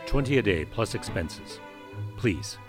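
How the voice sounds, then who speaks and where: A man's voice: lowish voice, lively intonation, unhurried. A man playing a proud, commanding older tycoon in a 1930s noir audio drama, pompous and formal. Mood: brusque, commanding. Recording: music under the voice.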